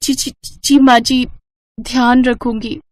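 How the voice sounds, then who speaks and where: A woman's voice: on the high side, level tone, takes it slowly, no echo. A woman voicing a timid, submissive young daughter-in-law in a cartoon, hesitant and deferential. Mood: meek.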